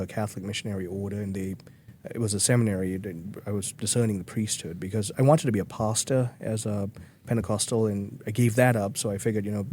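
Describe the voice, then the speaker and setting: A man's voice: lowish voice, warm tone, slight background noise. A man from Malaysia, speaking earnestly as a guest in a podcast interview, with frequent restarts. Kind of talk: conversational interview.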